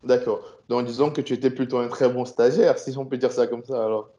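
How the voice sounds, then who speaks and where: A man's voice: mid-range voice, steady tone, brisk, mellow. Curious male interviewer, dull recording. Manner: curious, probing follow-up questions. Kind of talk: interview questions.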